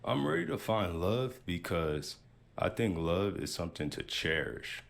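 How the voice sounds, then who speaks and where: A man's voice: sits low, sing-song, a little slow, vocal fry. A man in his thirties answering questions as a dating show contestant. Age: 30s. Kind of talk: introducing himself and answering questions.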